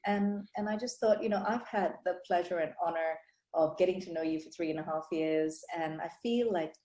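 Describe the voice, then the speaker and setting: A woman's voice: fairly low pitch, fairly quick, mellow. A woman hosting an interview with her colleague, effusive and upbeat, often padding her lines with fillers. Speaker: clinic owner, interviewer. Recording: echoey room.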